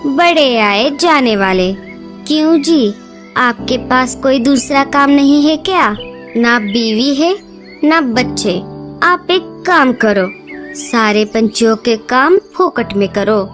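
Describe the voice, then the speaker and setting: A woman voicing Gori, a sharp-tongued female crow in a cartoon who scolds her husband sarcastically. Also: sits high, expressive, slow, soft background music, full character voice. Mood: scolding.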